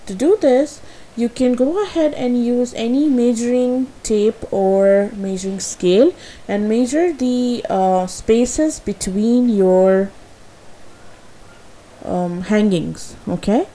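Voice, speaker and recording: A woman whose first language is Urdu talks through a craft tutorial in a slow, unhurried voice-over. Her tone is soft and mellow, and she sounds a little nervous. She pauses often on "uh" and "um" and corrects herself as she goes. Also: a little high. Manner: hesitant, with frequent fillers and self-corrections.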